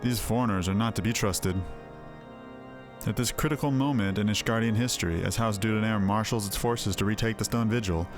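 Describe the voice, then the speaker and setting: A man's voice: lowish voice, brisk, lightly acted. A man voicing a suspicious, scheming inquisitor in a fantasy video game, speaking in formal, archaic, accusing phrases. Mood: accusing, grave. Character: suspicious inquisitor villain warning against foreigners, formal and scheming. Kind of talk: video game character dialogue, read aloud. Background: music.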